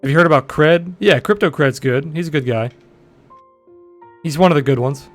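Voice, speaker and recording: Young man, deep voice, casual trading stream host, swears freely, soft music underneath. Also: normal speed. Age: 20s. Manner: blunt, profane, rambling. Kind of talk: casual livestream chat answering viewers.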